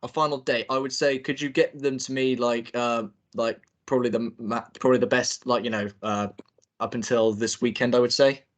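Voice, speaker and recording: A man's voice: mid-range voice, fairly even intonation, normal speed. A young man directing a group table read on a video call, talking in a rambling way with many fillers and restarts. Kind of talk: casual chat.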